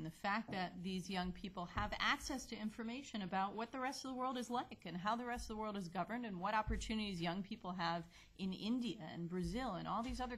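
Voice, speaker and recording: Woman panelist, mid-pitched, measured policy talk. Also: fairly bright tone. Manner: measured, thoughtful, with small fillers. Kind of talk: panel discussion answer. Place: conference hall.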